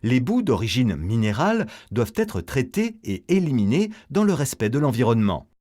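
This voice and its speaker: Male explainer narrator, very animated intonation. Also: mid-range voice, normal speed. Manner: didactic, step-by-step explaining. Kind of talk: scripted educational narration.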